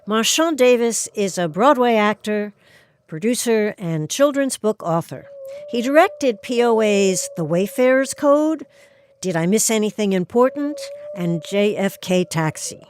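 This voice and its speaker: A woman host delivers a scripted introduction for an audio drama. Her pitch is average, and there is clear noise behind her voice as she narrates. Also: expressive, a little slow, a little mellow.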